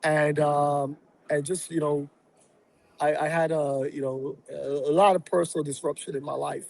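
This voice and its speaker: A man from Barbados, a guest on a podcast, talking through his plans in a reflective, halting way with many fillers. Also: a little high, little pitch movement, gravelly, darkish tone. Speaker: real estate investor and guest. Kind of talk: casual podcast interview.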